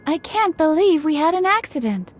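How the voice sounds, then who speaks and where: A woman's voice: extremely high pitch, sing-song, normal speed, a little hiss or hum. A woman voicing a plucky, wry young girl who escaped an asylum, in an adventure game. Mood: determined. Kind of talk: adventure game character acting. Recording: background music under the voice.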